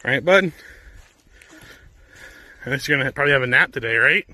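A man's voice: mid-range voice, lively intonation, normal speed, sharp tone. A man in his thirties chatting and joking while hiking a forest trail, filming on a handheld camera. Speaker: vlogger. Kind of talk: casual family hike chat.

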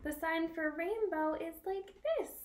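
A young woman reading a picture book aloud for small children and teaching signs, in an animated, exaggerated sing-song manner. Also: very high voice, measured pace. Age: young adult. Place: small room at home by a window.

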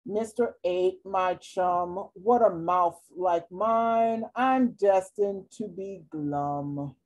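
A woman's voice: on the low side, drawn-out words, darkish tone. A woman voicing a glum, resigned male fish who mopes through a children's storybook. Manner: mopey, resigned.

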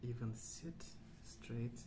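A man speaking softly and hushed in an unhurried, halting ASMR ramble, often trailing off. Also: mid-range voice. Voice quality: soft, hushed. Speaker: ASMR video host. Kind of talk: soft-spoken ASMR rambling. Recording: clear background noise.

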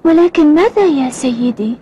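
A woman's voice: high-pitched, lively intonation, normal speed, mellow, a little hiss or hum. A woman voicing an earnest, eager young boy in a cartoon, speaking courteously and formally. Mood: polite, earnest. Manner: courteous, formal, clearly enunciated. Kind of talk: Arabic cartoon dubbing.

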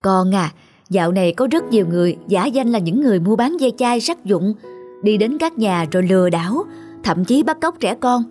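A woman's voice: mid-range voice, lively intonation, moderate pace, a little mellow, soft background music, natural voice. A woman voicing a protective young mother in a cartoon, earnestly warning her child. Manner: earnest, admonishing.

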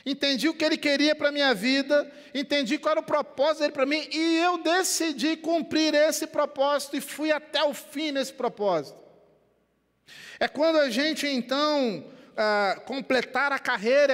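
An older man from Rio de Janeiro preaching a sermon in Brazilian Portuguese on a headset mic in a church, animated, dropping to quiet and rising again. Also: extremely high pitch, normal speed. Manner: animated preaching with wide gestures, swings between quiet and loud. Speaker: pastor. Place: church sanctuary. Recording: long church hall reverb.

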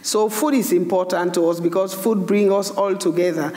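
A woman's voice: mid-range voice, steady tone, normal speed, dark tone. An older woman from Leone, speaking earnestly as a panel guest and community elder on a headset mic. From Sierra Leone. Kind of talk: panel discussion. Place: large hall on stage. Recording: echoey hall reverb.

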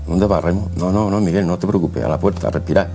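Older male interviewee, very deep and mellow, casual recollection. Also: fast, faint music behind. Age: middle-aged or older. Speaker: retired-era police bodyguard, interviewee.

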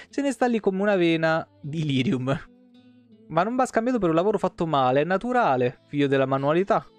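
A man in his thirties with a slightly high voice reads fantasy game lore aloud. His delivery is expressive, dropping into informal streamer asides. Soft plucked guitar music plays behind him. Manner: expressive reading, breaking off for informal comments. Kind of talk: reading game codex text aloud with casual asides. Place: small indoor room, streaming setup.

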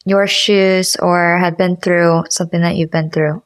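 A woman's voice: lowish voice, level tone, a little slow, no room sound. A woman hosting a podcast, asking questions in a conversational interview style.

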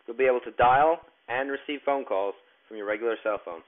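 A man narrating a step-by-step tech tutorial in a plain, instructional way with halting phrasing. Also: mid-range voice. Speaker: tutorial presenter. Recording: tinny, narrow phone-like sound.

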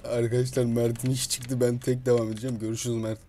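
A man's voice: medium pitch, little pitch movement, fast talker, mellow. A man improvising comic game commentary as a roleplay video host, swearing freely. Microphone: gaming mic at a computer. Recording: constant noise with keyboard and mouse clicks.

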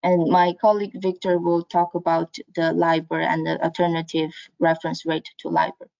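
A woman presents slides in a halting, hesitant way. Her voice is mellow and fairly flat in intonation. It comes through dull, like an online webinar call. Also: on the low side, vocal fry, no echo.

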